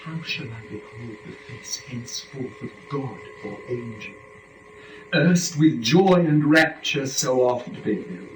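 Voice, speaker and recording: A man performs an impassioned first man in a dramatic reading of epic verse. He declaims at a very slow, deliberate pace with sweeping emphasis. The recording is roomy and distant, with the microphone well away from him. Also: mid-range voice, a little hiss or hum, natural voice. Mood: anguished shame.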